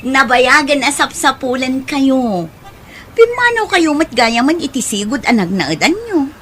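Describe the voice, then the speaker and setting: A woman with an Ilocano accent playing a persuasive, caring aunt in an audio drama, with an expressive, coaxing delivery. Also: sits high, unhurried, a little hiss or hum, exaggerated voice. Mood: glad, concerned. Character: Auntie Mikaela, persuasive, caring female relative.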